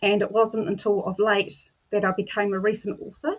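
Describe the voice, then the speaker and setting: A woman from New Zealand, a life coach, telling her personal story in a measured way over a video call. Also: medium pitch, little pitch movement, dull audio.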